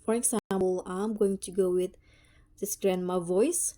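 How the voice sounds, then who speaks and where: A woman narrating a casual step-by-step app tutorial as its host, with a halting, hesitant delivery. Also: medium pitch, fairly even intonation, warm tone.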